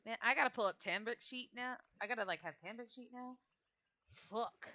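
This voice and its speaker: A woman's voice: a little high, fairly quick, sharp tone. A woman running a tabletop game as game master, casually switching between narration and rules talk in an informal way.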